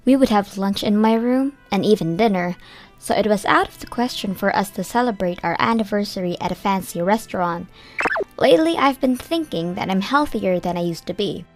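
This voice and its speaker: A young woman narrating a manga dub in first person, measured and earnest. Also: mid-range voice, animated, faint music behind. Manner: measured storytelling, plain and earnest.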